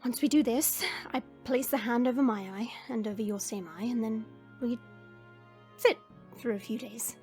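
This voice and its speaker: A woman playing a regal dragon queen in a scripted audio roleplay, earnest and resolute, with formal, deliberate phrasing. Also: a little high, dark tone, natural voice. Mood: solemn reassurance. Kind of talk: scripted audio roleplay acting with first-person narration. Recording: music behind the voice. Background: background music.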